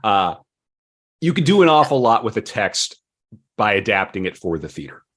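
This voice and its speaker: A man with a low-pitched voice hosts a podcast. He phrases things deliberately and emphatically, moving between conversation and reading notes aloud. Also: big pitch swings, fairly bright tone. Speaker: podcast host.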